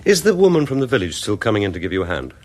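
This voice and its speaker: A man's voice: lowish voice, wildly swooping pitch, rapid-fire. A man playing an affectionate, concerned husband in a radio drama, urbane and teasing, with a British accent. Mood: concerned, probing. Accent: British English.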